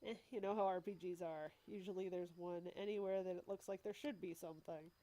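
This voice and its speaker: A woman's voice: fairly low pitch, fairly even intonation, fairly quick, mellow. A woman with a stuffy cold talking casually as a let's play host, explaining the game as she goes with wry asides. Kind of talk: casual gameplay commentary.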